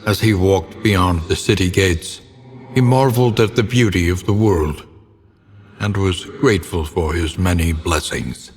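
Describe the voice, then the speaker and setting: Grim game storyteller: very low, unhurried, brooding and theatrical, delivering dark gothic narration. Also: male voice. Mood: solemn, grave. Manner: solemn, theatrical, ornate phrasing. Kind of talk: dramatic scripted gothic narration. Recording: long reverberant tail, clear background noise.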